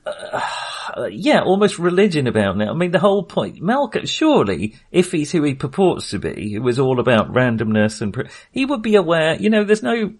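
A man's voice: on the high side, wildly swooping pitch, normal speed, hardly any pauses. A man co-hosting a podcast, talking casually and informally in a film discussion. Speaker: podcast co-host.

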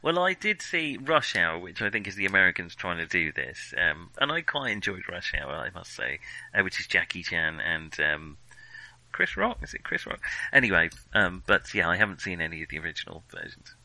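Low-pitched, bright-voiced man chatting. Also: sing-song, a little fast, dry recording, low-quality recording. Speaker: film discussion podcast co-host. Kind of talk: casual chat.

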